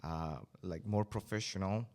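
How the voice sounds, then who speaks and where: A man's voice: low voice, a little slow, a little mellow. A young man from Venezuela, a Spanish speaker, answering podcast interview questions hesitantly with many fillers and restarts. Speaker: student guest.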